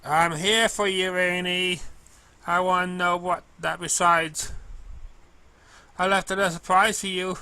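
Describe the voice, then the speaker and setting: A man's voice: sits high, little pitch movement, unhurried, pauses often, sharp tone, dry recording, pitched above the performer's own voice, heavily acted. A man voicing a cheerful, scatterbrained, kindly female pegasus pony who delivers mail, bubbly and bumbling, drawing out words in a cartoon fan story. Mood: cheerful, playful.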